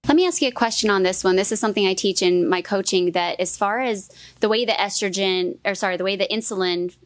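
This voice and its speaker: A woman's voice: mid-range voice, fast talker, dry recording. Upbeat woman host asking questions. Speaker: host and coach. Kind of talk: interview hosting.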